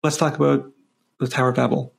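A man's voice: mid-range voice, flat delivery, quick pace, gravelly, husky. A man co-hosting a podcast, reflecting on the Torah portion in loose run-on phrases with frequent "like". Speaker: podcast co-host. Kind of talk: casual discussion of the weekly Torah portion.

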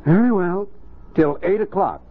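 A man's voice: sits high, normal speed, a little hiss or hum, natural voice. An actor playing a stern, skeptical suburban father in a 1950 radio drama, firm, paternal and a little dismissive. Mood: wry, grudging. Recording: thin, phone-like old radio recording.

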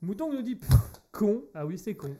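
A man's voice: high voice, very animated, moderate pace, mellow. A man co-hosting a humorous radio show, telling a story and trading jokes in playful banter. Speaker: radio co-host.

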